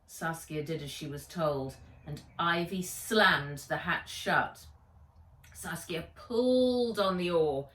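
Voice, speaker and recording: A woman narrates a children's audiobook at a very slow, deliberate pace. Her pitch sits a little low, and she reads with expression. The recording is very echoey, as if she is some way from the microphone. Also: fairly bright tone. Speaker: author reading own book aloud. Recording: roomy echo, distant microphone.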